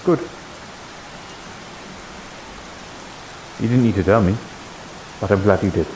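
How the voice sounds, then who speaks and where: Man playing a low-voiced, devout holy warrior. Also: fast talker, a little mellow, slight background noise, natural voice, rough recording. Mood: solemn, loyal. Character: earnest, devout holy warrior, plain-spoken and loyal. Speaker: roleplayer. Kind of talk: improvised in-character fantasy roleplay. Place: live online VR roleplay stream.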